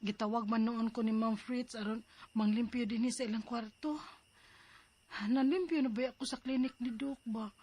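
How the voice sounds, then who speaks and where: A woman with a high voice narrates a dramatized life story in the first person. She speaks plaintively and confidingly, as if sharing her troubles. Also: fairly even intonation, moderate pace, dark tone, slightly rough. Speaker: storyteller narrating as the letter-sender.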